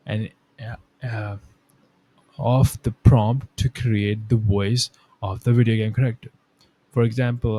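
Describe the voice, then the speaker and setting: A man hosting a casual software tutorial, explaining step by step in a halting, repetitive way. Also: lowish voice. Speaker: tutorial host.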